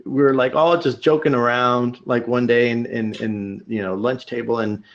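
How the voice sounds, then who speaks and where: A man's voice: mid-range voice, steady tone, moderate pace, highs cut off. A man hosting a podcast in casual chat, telling rambling stories full of fillers. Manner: rambling storyteller, many fillers. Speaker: podcast host.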